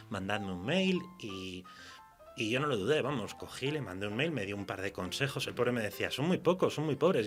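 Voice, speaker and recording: Low voiced spanish guy from spain, writer being interviewed, chatty storyteller, faint music around. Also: fairly bright tone. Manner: chatty, anecdotal storyteller, colloquial diminutives. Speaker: interview guest, fiction writer. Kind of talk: informal radio interview answers.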